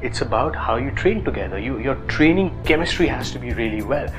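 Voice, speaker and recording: A man in his thirties with an Indian accent, talking casually to camera about fitness with lots of fillers and lively gestures. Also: mid-range voice, a little fast, music in the background, rough recording. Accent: Indian English. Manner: animated, gestures with both hands, many fillers. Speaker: fitness influencer.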